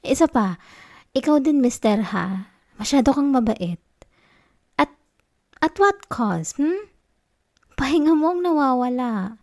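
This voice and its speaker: A woman acts out an affectionate, sulky Filipino wife in an intimate ASMR roleplay. Her voice is fairly high, soft and mellow. She takes her time, coaxing and teasing with a tender pout. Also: lively intonation, slow, pauses often, exaggerated voice. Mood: scolding, concerned. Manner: soft, coaxing, pouty, slipping into whispers.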